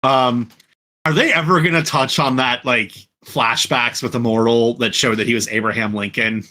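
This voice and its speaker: A man in a casual podcast chat, talking in an animated way and thinking aloud with questions. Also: mid-range voice, normal speed. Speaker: podcast co-host.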